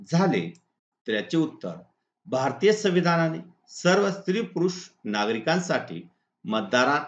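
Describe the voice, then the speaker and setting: Male teacher, Maharashtrian accent, instructional point-by-point delivery, muffled lo-fi recording with strong room echo. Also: medium pitch. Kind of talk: exam-answer lesson, read out point by point. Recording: echoey room, dull lo-fi sound.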